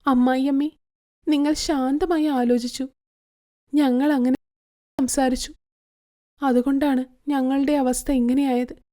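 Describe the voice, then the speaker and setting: A woman voicing a greedy young daughter-in-law in an animated story, a loud, shrill and bossy character. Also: a little slow, pauses often. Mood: pleading.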